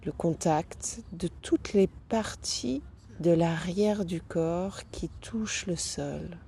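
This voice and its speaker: A woman guiding a yoga nidra meditation as a teacher, speaking calmly and gently with long pauses between phrases. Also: on the low side, creaky. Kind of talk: guided meditation, soothing instruction.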